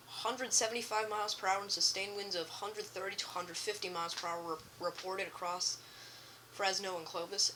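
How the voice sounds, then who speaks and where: A woman reading a scripted emergency broadcast message as an announcer, in a halting way with some stumbles. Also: mid-range voice, pushed and bright, slight background noise, rough recording.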